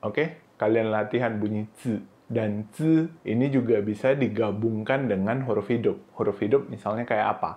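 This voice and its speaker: A man teaching Mandarin pronunciation in a casual, instructive way, often saying "oke" and "nah", and sounding out syllables in high, drawn-out bursts. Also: mellow. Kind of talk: pronunciation lesson video.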